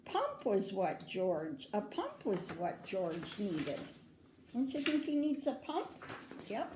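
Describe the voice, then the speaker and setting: An older woman with an American accent reads a picture book aloud to children in a grandmotherly way, pausing to put questions to her young listeners. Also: mid-range voice, wildly swooping pitch, background noise. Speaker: children's story reader. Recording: roomy, echoing indoor sound.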